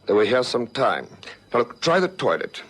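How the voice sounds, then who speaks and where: An actor playing a shrewd, methodical senior police detective, authoritative and thoughtful, in a vintage radio drama. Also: male voice, medium pitch, big pitch swings, normal speed, fairly bright tone. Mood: brisk, commanding. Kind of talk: 1960s-70s radio drama acting. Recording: noisy vintage radio recording.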